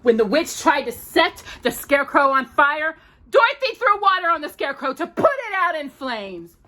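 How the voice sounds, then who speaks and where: Expressive, high-voiced woman storyteller. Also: normal speed. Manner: expressive, drawn out, playful. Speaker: host of a children's storytime. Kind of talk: theatrical children's storytelling with casual asides.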